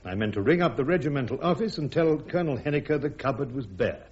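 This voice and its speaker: Guy acting insistent british major in a courtroom radio play, sardonic, really animated, bit muffled. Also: medium pitch, fast talker, lightly acted. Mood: defiant, wry. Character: defiant army major defending himself at court-martial. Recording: dull radio drama recording.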